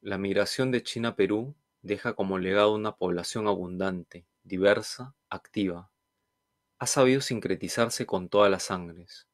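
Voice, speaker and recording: A man reading a reflective artist's statement in a measured, deliberate way. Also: fairly low pitch, no room sound, clean studio sound. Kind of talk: scripted reflective narration.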